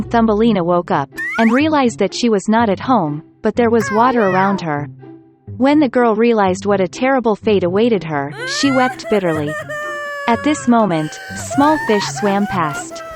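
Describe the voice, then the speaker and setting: A woman narrating a children's fairy tale in a calm, measured storytelling manner. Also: a little high, faint music behind.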